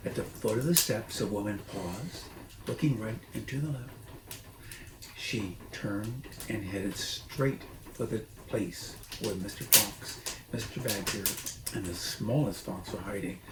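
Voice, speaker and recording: A man reading a children's book aloud expressively and haltingly, with dramatic pauses and informal asides. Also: lowish voice. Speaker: storybook reader. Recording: echoey room, noisy.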